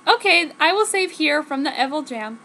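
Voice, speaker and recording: A woman's voice: high voice, expressive, normal speed, gravelly, bright, forceful tone, voice pushed up, heavily acted. A woman voicing a lovesick young male werewolf in an earnest, plain-spoken way. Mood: reluctant. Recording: noticeable background noise.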